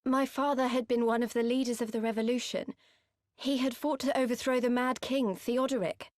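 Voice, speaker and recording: A woman acting a grieving woman in her twenties in a video game cutscene, speaking in an earnest, halting confession. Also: fairly high pitch, steady tone, no room sound, clean studio sound, natural voice. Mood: sorrowful, confessional. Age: 20s. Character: grieving young woman revealing her painful past.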